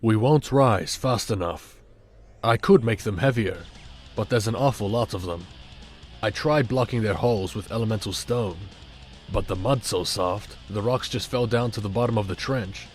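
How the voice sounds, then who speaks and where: A man playing a brisk, dry-humored mechanical warrior hero with an urgent, sardonic delivery in an animated fan dub. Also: on the low side, soft background music, lightly acted.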